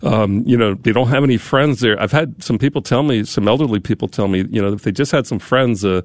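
A man hosting a fundraising radio broadcast, slightly hoarse while getting over a cold, friendly and leading a prayer. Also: medium pitch, brisk, no echo. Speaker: radio host. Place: radio studio.

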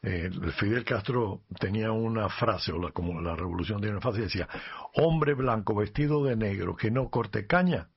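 A man's voice: lowish voice, moderate pace, breathy edge. A man in his seventies, a priest from Cuba, chatting unhurriedly with many "así que" fillers and later reading scripture aloud. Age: 70s. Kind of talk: casual chat, then reading scripture aloud. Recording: dull lo-fi recording.

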